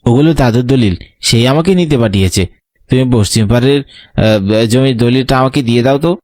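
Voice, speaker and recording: A man's voice: mid-range voice, fast, a little mellow, no room sound, studio quality, lightly acted. A man playing an earnest young student, the wronged younger brother, in a horror audio story. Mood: defiant.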